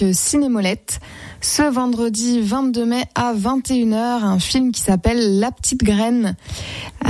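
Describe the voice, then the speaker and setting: A woman with a slightly high voice reads film and show listings for community radio. Her reading is fluent and even. The recording is close and dry. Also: no room sound. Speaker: local radio co-host.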